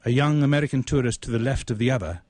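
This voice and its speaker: Male storyteller, dry close recording, deliberate phrasing, literary narration, mid-range pitch. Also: expressive, a little mellow, no echo. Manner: ornate, deliberate, measured phrasing. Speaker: writer reading own short story. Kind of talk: dramatic literary story reading.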